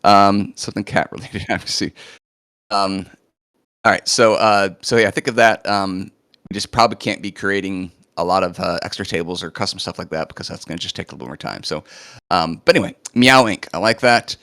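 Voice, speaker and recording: A man with a lowish, slightly hoarse voice walks through a live coding demo, talking loosely with plenty of fillers. The sound is dry and close, with no room echo. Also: fairly quick, sharp tone. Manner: casual, chatty, lots of fillers. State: a bit hoarse, recovering from illness. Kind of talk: live screen-share walkthrough.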